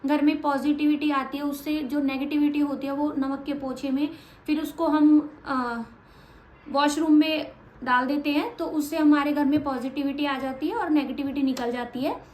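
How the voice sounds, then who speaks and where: A high-pitched woman, speaking plainly and a little bluntly as she answers her viewers. Her voice rings in an echoey room. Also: fairly even intonation, normal speed, darkish tone. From Haryana, India. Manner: frank, matter-of-fact, a little blunt. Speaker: vlogger. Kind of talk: casual Q&A vlog.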